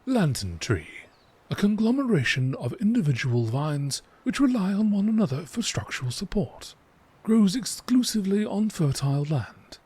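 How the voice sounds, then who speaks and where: A man reading scripted narration in a measured, deliberate nature documentary style. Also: a little high, a little mellow. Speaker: narrator reading game lore. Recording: clearly noisy recording.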